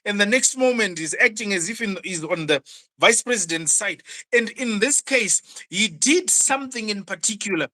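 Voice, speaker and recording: A bright, forceful voice lays out political analysis with emphasis. The speaker often stumbles over repeated words before pressing on to the point. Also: medium pitch, lively intonation, normal speed. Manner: emphatic, repeats words when starting sentences. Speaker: political commentator. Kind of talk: political analysis on an online news show.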